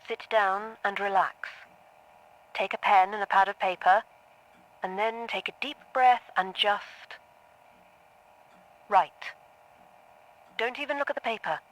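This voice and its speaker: An actress playing a composed, clinical female therapist in an audio drama, speaking in a measured and neutral way. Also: mid-range voice, a bit bright, lightly acted, poor audio. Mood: calm, instructing.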